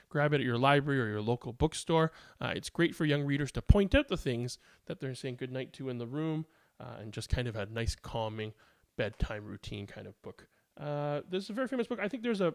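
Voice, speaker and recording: Gravelly man hosting children's read-alouds. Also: mid-range voice, no echo, studio quality. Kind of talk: casual chat and bedtime picture book read-aloud.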